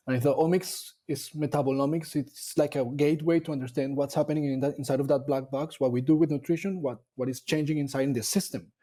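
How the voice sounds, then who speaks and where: Mellow-voiced man, thoughtful. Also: a little high, steady tone, fast, few pauses. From Colombia. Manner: thoughtful, with frequent fillers and restarts. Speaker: dairy nutrition scientist guest. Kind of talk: casual interview conversation.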